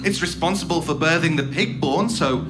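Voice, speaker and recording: A man's voice: on the high side, brisk, loud music behind the voice, lightly acted. A man playing an impatient, sarcastic interrogator for a secretive organization in an audio horror drama. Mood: uneasy, dismissive.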